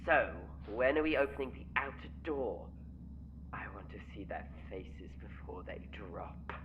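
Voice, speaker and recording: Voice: mid-range voice, animated, measured pace, lo-fi sound, background noise, natural voice. An actor playing a vengeful, bloodthirsty henchman in an audio drama who talks in cold, seething whispers.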